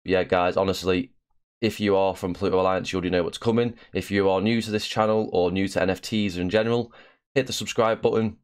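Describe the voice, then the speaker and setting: Young host with a slight cough, low smooth voice, chatty and filler-heavy. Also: male voice, steady tone, fast. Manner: chatty, with frequent "uh" and "um". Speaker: channel host. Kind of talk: casual promotional video.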